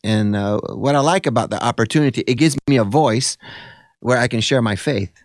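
A man's voice: mid-range voice, a little fast, dry recording. American man, 40s, earnest radio guest, dry studio mic, loose rambling delivery. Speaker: guest, actor and evangelist. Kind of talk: casual interview talk.

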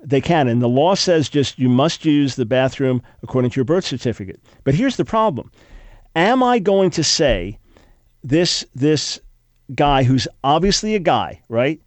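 A man's voice: mid-range voice, animated, measured pace, dry recording. An older man hosting call-in talk radio, sounding congested, arguing emphatically with frequent restarts. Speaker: radio talk show host.

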